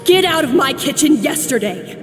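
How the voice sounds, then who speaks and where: A shrill, ruthless head chef. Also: female voice, big pitch swings, moderate pace. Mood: scornful. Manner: yelling, contemptuous. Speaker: video game character. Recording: reverberant game audio with music.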